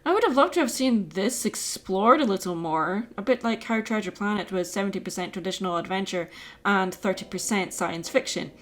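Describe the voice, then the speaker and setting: A woman's voice: medium pitch, expressive, a little fast, fairly bright tone. A woman giving opinionated film commentary as a video essayist, conversational with exclamatory asides.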